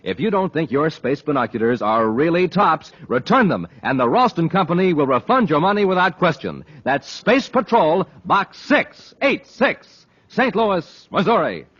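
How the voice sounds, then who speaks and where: An American man works as a 1950s radio announcer with hard-sell showmanship. His voice is fairly high and sweeps up and down emphatically. The old recording is dull, with faint noise. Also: big pitch swings, normal speed, few pauses, a bit bright. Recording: slightly noisy, muffled 1950s radio recording.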